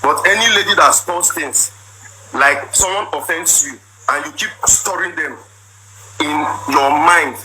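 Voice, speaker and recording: A loud young man with a Nigerian accent tells a comic story, pressing each point and saying it twice. His voice sits high for a man. A steady hiss of noise runs under the recording. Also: measured pace. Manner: loud and emphatic, repeats his lines. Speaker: comedian. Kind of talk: comedic storytelling monologue.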